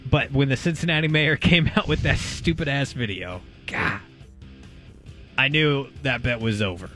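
A man's voice: medium pitch, moderate pace, sharp tone, faint music behind. A man co-hosting a sports talk show, giving blunt opinions in casual conversation. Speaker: sports talk radio co-host.

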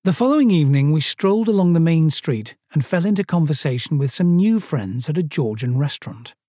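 A man's voice: on the high side, lively intonation, brisk, mellow, no echo. A man from Sweden narrating a first-person travel story with dry, self-mocking humor.

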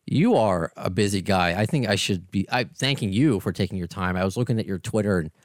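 A middle-aged podcast host with a New York accent talks in a casual, self-deprecating way. His pitch swings widely as he chats. The sound is dry and close, with no room around it. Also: a little fast, no room sound. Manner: chatty and self-deprecating, animated intonation. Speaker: podcast host and radio presenter. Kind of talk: casual interview chat.

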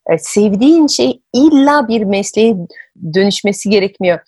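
A woman hosting an interview, telling personal stories in a conversational, expressive way. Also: medium pitch, normal speed, slightly rough, no echo. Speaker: podcast host. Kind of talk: conversational storytelling.

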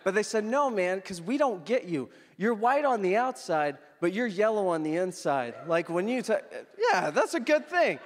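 A man's voice: high-pitched, sing-song, moderate pace, few pauses. A man in his thirties who grew up in the Philippines, a guest preacher telling his story in an upbeat, joking way to the congregation. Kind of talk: sermon with personal story. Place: church hall. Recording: long church hall reverb.

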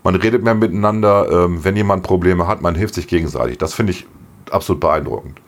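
A middle-aged man co-hosting a podcast, slightly hoarse, chatting casually and laughing often. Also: deep voice, fairly quick. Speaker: podcast co-host. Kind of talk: casual chat.

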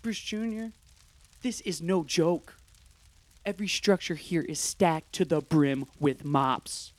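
A weary, sarcastic ruler of hell, voiced by a man, gives a dry guided tour in a slightly raised voice. The cartoon delivery is deadpan and unimpressed. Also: fairly high pitch, slow, no echo. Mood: sardonic.

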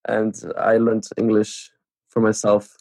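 An eighteen-year-old man from South Tyrol in Italy, a native German speaker, chatting casually as an interview guest in halting second-language English. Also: lowish voice, completely flat delivery, darkish tone. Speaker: podcast guest, up-and-coming music artist.

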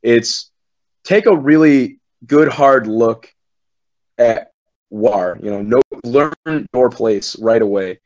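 A man's voice: medium pitch, slow, darkish tone, dull audio. A man who works as a game commentator, chatting on a podcast in a rambling, profane, self-assured way. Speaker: esports commentator. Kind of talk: casual podcast chat.

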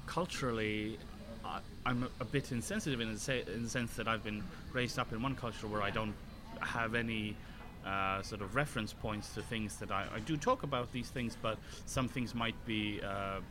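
Halting man, noisy background. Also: on the low side. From Estonia. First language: Estonian. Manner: halting, searching for words. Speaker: comedian. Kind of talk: casual podcast chat.

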